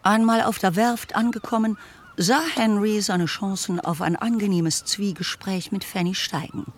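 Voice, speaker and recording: A man narrating a classic romance novel for a radio play in a measured, articulate storytelling manner. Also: sits high, steady tone. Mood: measured, observant.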